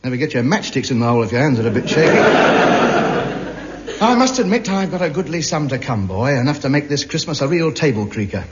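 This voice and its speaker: A pompous, self-deluding man with a high voice holds forth grandly. His indignant bluster comes through a muffled old broadcast with steady hiss. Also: quick pace. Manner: grandiose, indignant comic delivery. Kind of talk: 1950s radio sitcom acting.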